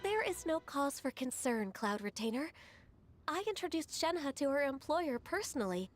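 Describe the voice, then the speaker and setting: A woman voicing a gentle, polite young female aide who speaks softly and courteously. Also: high-pitched, big pitch swings, moderate pace, faint music behind. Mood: calm. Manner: soft-spoken and courteous. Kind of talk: video game voice acting.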